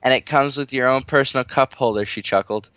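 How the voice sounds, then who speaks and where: Male amateur reader, mid pitch, dry recording. Casual banter, giggly and crude, keeps breaking from the text. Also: brisk, fairly bright tone, smooth, dry recording, lo-fi sound. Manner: giggly, crude, easily derailed. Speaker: reader on a comedic story-reading show with friends. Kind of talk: casual group banter and amateur story reading.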